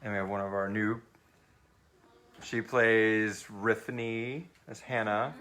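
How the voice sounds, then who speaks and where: A man's voice: fairly low pitch, steady tone, slow pace, bright, forceful tone. A man hosting a live question-and-answer session in an informal, rambling, lightly sweary way, easily amused. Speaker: host of a live question-and-answer special for an audio drama. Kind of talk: casual chat, reading out listener questions. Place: live stream with a group of castmates in the room.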